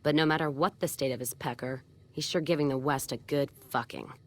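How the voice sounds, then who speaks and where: An actress playing a tough, foul-mouthed, cynical caravan boss in a video game, blunt and sardonic with folksy frontier phrasing. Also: female voice, very deep voice, a little fast. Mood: bitter, sardonic. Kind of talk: video game character dialogue.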